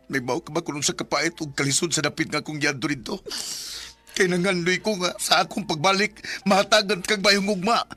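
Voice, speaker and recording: A man's voice: sits high, steady tone, a little fast, pushed and bright, soft background music. A Cebuano Filipino man playing a wounded, reproachful young lover in a radio drama. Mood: anguished, accusing. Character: wounded, reproachful young man back to his lost love. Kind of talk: Visayan radio drama acting.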